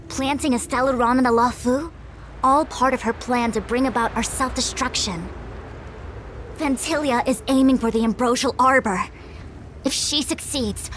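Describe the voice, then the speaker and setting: A woman's voice: a little high, a little hiss or hum, close to an everyday voice, poor audio. A woman voicing a resolute, serious strategist in a video game, speaking formally, firmly and with urgency. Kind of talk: video game cutscene acting.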